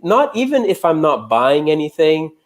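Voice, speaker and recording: A young adult man from Brazil, an English teacher, chatting in a relaxed way during a live online lesson with many uh and um fillers. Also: fairly high pitch, moderate pace.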